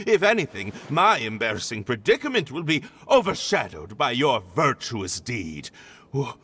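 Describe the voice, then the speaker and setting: A man's voice: a little high, a bit bright, slightly rough, full character voice. A man voicing a proud but flustered young nobleman in a video game, stammering and pleading. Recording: game cutscene with background music.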